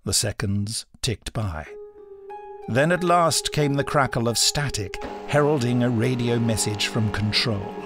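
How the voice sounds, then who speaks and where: Gravelly male adventure story narrator. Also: medium pitch, measured pace, music in the background. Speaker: audiobook narrator. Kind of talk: scripted dramatic story narration.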